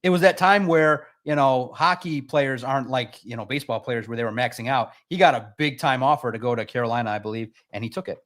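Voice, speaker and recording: A man's voice: medium pitch, quick pace, hardly any pauses, fairly bright tone. A man arguing his case as a guest on a sports talk show, needling and emphatic. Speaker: guest pundit. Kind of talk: casual sports debate.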